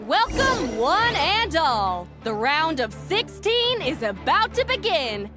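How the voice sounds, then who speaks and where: Arena announcer: very high, ceremonious, proclaiming, animated pitch over clear music. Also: female voice, slow, exaggerated voice. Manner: proclaiming, formal. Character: showy female tournament announcer, brisk and ceremonious. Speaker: tournament announcer. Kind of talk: video game voice acting. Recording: music behind voice.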